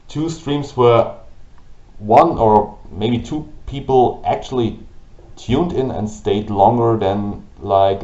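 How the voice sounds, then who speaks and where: A man with a German accent, whose first language is German, hosting a gaming channel and ranting slowly and haltingly about it to camera. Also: fairly low pitch, darkish tone. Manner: halting, with restarts and pauses. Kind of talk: frustrated rant to camera. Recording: roomy, dull lo-fi audio.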